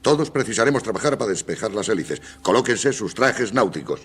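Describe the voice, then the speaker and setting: An actor playing a commanding doctor who leads an expedition in a radio drama, giving urgent orders with authority. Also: male voice, fairly low pitch, lively intonation, a little fast, a little hiss or hum. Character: commanding male doctor leading a miniature submarine expedition. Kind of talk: Spanish-language radio theatre acting.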